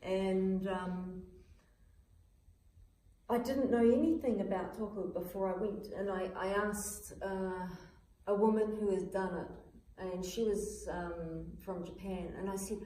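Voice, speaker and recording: A woman speaks informally as the host of a small group event. Her voice is mellow and sits at a middle pitch. The room adds clear echo around her words. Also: fairly even intonation, a little slow. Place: indoor room at a small gathering. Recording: roomy echo, some background noise.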